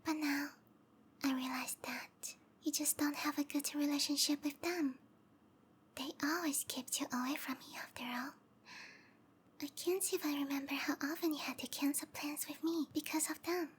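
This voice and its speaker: A woman voicing a sweet, obsessive snake-girl lover in a breathy, cooing whisper for an intimate ASMR roleplay. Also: high-pitched, steady tone, moderate pace, natural voice. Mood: softly menacing. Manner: cooing, tender, possessive.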